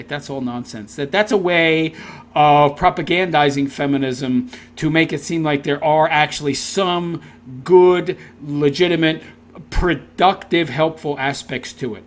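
A man reading a text aloud and tearing into it with sarcastic, scornful commentary. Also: fairly high pitch. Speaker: commentary video host.

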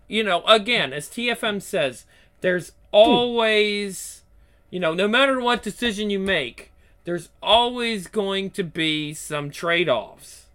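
A man's voice: high-pitched, sharp tone. A man in his thirties hosting his own channel, talking bluntly and profanely in a rambling casual chat with many restarts. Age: 30s. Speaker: YouTube channel host.

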